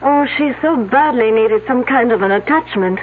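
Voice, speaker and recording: Husky, prim woman witness. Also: on the high side, normal speed. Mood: prim, confiding. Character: reserved woman witness, primly confiding about her colleagues. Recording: thin, phone-like old broadcast audio.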